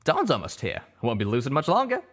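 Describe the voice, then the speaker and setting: A man's voice: medium pitch, huge pitch swings, fast talker, pitched above the performer's own voice. A man voicing a blunt, forgetful elderly stallion with rustic, folksy speech in an audiobook. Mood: impatient. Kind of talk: audiobook character voice.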